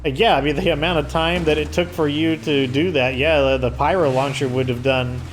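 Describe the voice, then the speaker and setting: A man with a hoarse voice giving loose, rambling commentary while he plays a video game. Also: a little high, steady tone, brisk, a little hiss or hum. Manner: rambling, filler-heavy explaining. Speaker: let's play host. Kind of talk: casual gameplay commentary.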